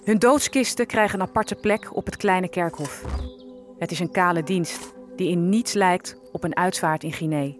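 A woman's voice: on the low side, moderate pace, natural voice. Woman acting a grieving enslaved african woman, solemn n reflective, audio drama w/ music under it. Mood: solemn grief. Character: sorrowful enslaved African woman, mourning her companions.

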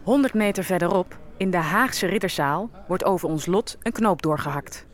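A woman's voice: on the low side, animated, moderate pace, noisy background, natural voice. An actress playing a dignified freed captive who narrates her people's story in a historical audio drama. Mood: dignified, matter-of-fact.

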